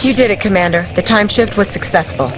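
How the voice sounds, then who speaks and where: Quick, urgent woman officer, played by an actress. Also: medium pitch, very noisy, lightly acted. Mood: urgent, excited. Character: urgent, brisk female military officer. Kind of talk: video game cutscene acting.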